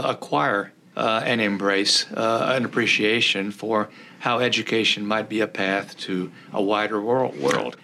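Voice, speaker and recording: An older man from rural Texas whose first language was Czech, a law professor recalling his career in an interview, pausing often with "uh" and restarting phrases. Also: fairly low pitch, sharp tone, slight background noise. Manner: halting, many "uh" fillers and restarts.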